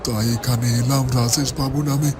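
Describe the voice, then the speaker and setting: A man voicing a sorrowful, poor widowed father in a cartoon, lamenting haltingly. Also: mid-range voice, fairly even intonation, lightly acted. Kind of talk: cartoon voice acting. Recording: music behind the voice.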